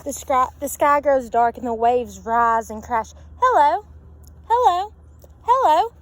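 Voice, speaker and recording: A woman's voice: high-pitched, a little slow. A woman reading a children's picture book aloud expressively, with sing-song repeats and questions to her listeners. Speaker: storybook reader. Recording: steady background noise.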